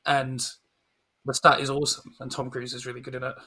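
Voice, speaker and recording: A man's voice: medium pitch, flat delivery, fairly quick, bright, forceful tone, slightly rough. A middle-aged man in casual, opinionated conversation as a podcast co-host, informal and dryly humorous. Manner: opinionated, informal, dry humour. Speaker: podcast co-host reviewing films. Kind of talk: casual film chat.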